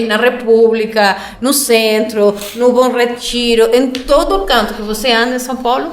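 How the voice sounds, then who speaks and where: A woman's voice: on the high side, reverberant. A woman hosting a podcast, asking playful questions and laughing often. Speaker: podcast host. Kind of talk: casual chat.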